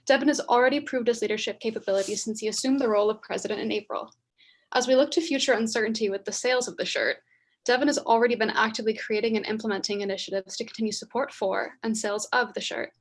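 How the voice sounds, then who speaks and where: A young woman with a soft voice, a student treasurer reading a formal nomination letter aloud. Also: a little high, fairly even intonation, fast talker. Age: young adult.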